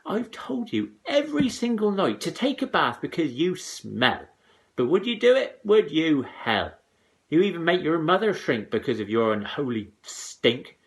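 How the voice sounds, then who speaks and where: A bossy, hot-tempered old storybook mother, played by a man. She scolds in a high, shrill voice that leaps up and down. Every phrase comes out as a scornful exclamation. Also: very animated, unhurried. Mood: scolding.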